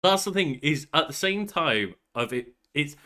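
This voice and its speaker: Bright-voiced young man chatting. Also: a little high, animated, normal speed. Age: young adult. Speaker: podcast co-host. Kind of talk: casual chat. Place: small bedroom at home.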